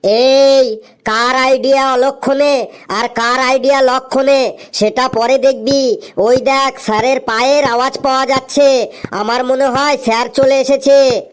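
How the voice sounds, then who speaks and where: A woman voicing an excitable village schoolchild in a comedy cartoon, talking in an earnest, exaggerated classroom manner. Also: high-pitched, a little slow, smooth. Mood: worried, bickering. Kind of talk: Bengali comedy cartoon dialogue.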